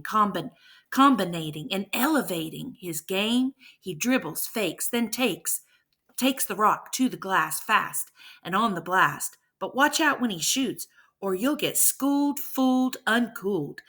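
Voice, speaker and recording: A woman's voice: medium pitch, a bit bright. A woman teacher reading a verse story aloud with rhythmic, emphatic delivery and brief explanations.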